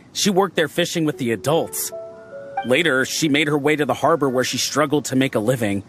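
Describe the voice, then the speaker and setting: An actor playing a friendly male crew meteorologist who explains things in a relaxed, proud, instructive way, in a game's dialogue. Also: medium pitch, natural voice. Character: friendly male ship weather-watcher, confident and chatty. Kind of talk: game character dialogue. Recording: game audio with faint background music.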